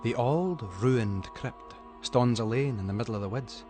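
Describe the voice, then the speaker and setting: A man's voice: medium pitch, normal speed, over music. A man with a Scottish accent giving a dramatic, suspenseful narration of a story in colloquial Scots dialect.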